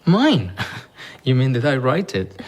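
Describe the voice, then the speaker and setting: An actor playing a modest young explorer and teacher in an audio drama, inquisitive and polite. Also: male voice, mid-range voice, huge pitch swings, a little slow. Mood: gentle. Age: young adult.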